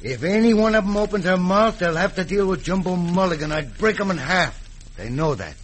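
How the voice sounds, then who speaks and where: Highish-voiced tough western outlaw. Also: male voice, expressive, fairly quick. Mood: menacing. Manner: folksy, menacing, blunt. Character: tough, brutal, swaggering male Old West outlaw. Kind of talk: old-time radio drama acting. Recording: faintly noisy old radio recording.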